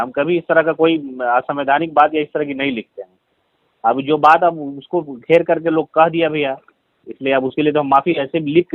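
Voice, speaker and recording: A Bihari man with a fairly high voice explains himself on a dull, tinny phone recording. He is deferential and pleading, apologising and respectfully addressing the other person as an elder brother. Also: dry recording. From Bihar, India. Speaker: caller being confronted over a social media comment.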